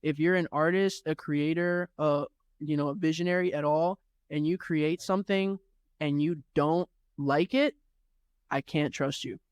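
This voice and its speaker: A man's voice: fairly high pitch, fairly even intonation, measured pace, clean tone, no room sound. A young man, a rapper guest, giving long, reflective opinions in casual chat. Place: studio room.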